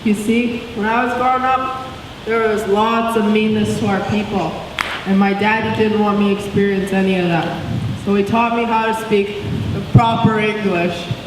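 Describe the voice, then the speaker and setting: A woman's voice: medium pitch, steady tone, normal speed, natural voice. Woman reading as a proud old grandmother, echoey hall. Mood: earnest. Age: older. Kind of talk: reading a book aloud. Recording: echoey hall, distant mic, some noise.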